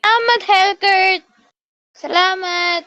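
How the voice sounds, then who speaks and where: A woman's voice: very high voice, fairly even intonation, takes it slowly, bright, forceful tone, lo-fi sound. A woman with a Filipino accent chatting casually during a mobile game, dropping in English slang. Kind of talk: casual chat while gaming. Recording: background noise under voice chat.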